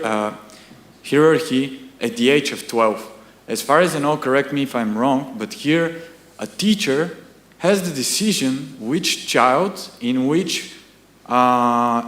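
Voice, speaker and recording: A man's voice: medium pitch, fairly bright tone, echoey room. A young man with a Bulgarian accent, originally from Bulgaria, asking a long question from the audience and searching for words. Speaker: audience member. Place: lecture hall.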